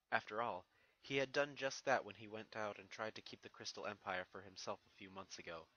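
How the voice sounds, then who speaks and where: Guy reading fantasy fanfic, lowish voice but crisp bright edge, dramatic storyteller delivery. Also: low-quality recording. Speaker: fan fiction narrator. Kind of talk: dramatic scripted story narration.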